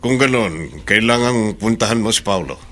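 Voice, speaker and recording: An actor playing a businesslike, official police chief in a radio drama. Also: male voice, on the low side, expressive, moderate pace, pushed and bright. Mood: grave, official. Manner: official, matter-of-fact. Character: businesslike male police chief investigating deaths.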